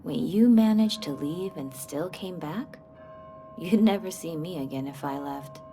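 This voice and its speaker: A woman's voice: fairly low pitch, measured pace, music in the background, natural voice. A woman playing a sarcastic, jaded small-town local in a video game. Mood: wry, bitter.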